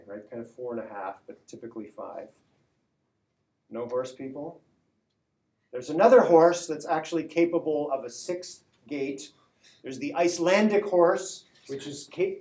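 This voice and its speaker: Guy lecturing a class in a big echoey hall, fairly high voice, keeps asking "right?". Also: measured pace, pauses often, darkish tone. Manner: conversational, frequent "right?" checks and restarts. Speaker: university lecturer.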